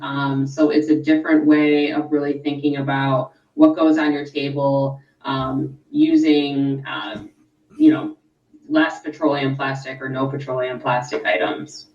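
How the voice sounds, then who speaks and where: A woman's voice: sits low, a little mellow, roomy sound, distant mic, dull audio. A woman guest in a radio interview, explaining things informatively with frequent fillers. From California. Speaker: interview guest, sustainability expert.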